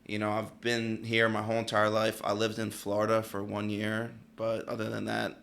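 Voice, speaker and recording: A man's voice: lowish voice, completely flat delivery, normal speed, gravelly, fairly bright tone. A man in his late twenties from Pennsylvania, a barber telling his story as a podcast guest, laid-back and full of "like" and "you know". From Pennsylvania, United States. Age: late 20s. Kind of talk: casual interview chat.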